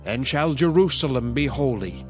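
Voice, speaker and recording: Solemn male divine voice played by a man for audio scripture drama: measured, declamatory, commanding, with clear music underneath. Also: medium pitch, lively intonation, natural voice. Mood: solemn, calm. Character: God speaking as a solemn, commanding male prophetic voice.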